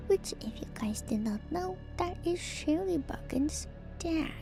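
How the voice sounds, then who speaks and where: A woman streamer doing a whispered ASMR reading with casual commentary, musing in a hushed, drawn-out way. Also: fairly high pitch. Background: background music.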